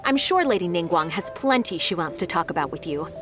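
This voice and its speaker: A woman playing a diligent, eager young secretary in a video game, brisk and polite as she methodically explains things. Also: fairly low pitch, sing-song, over music. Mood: thoughtful, friendly.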